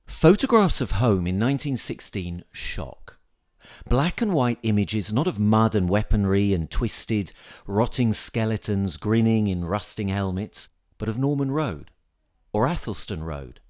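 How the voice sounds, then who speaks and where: A man reading a scripted literary essay aloud in a measured, reflective manner. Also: lowish voice. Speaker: reader of an essay.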